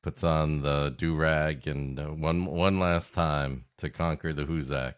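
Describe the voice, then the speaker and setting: A man with a low voice co-hosts a comedy podcast. He improvises jokes and tangents in a dry, sardonic manner, keeping the banter casual. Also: fairly even intonation, measured pace, clear, resonant tone. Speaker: podcast co-host.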